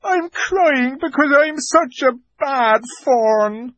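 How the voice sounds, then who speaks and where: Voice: high-pitched, no room sound, dull audio, voice pushed up. A weeping, guilt-racked fawn. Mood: sobbing. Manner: sobbing, slow and anguished. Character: sorrowful guilt-ridden male fawn. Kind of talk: audiobook character voice.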